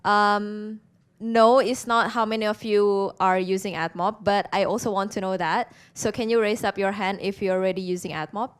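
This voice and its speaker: A woman's voice: medium pitch, steady tone, normal speed, smooth. A woman from Indonesia with an Indonesian accent, speaking as a presenter to a conference audience in an interactive way.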